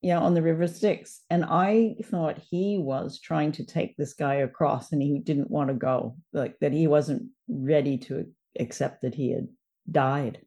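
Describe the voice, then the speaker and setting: A woman's voice: low voice, unhurried, warm tone. A woman hosting a casual discussion as a podcast presenter. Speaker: podcast host.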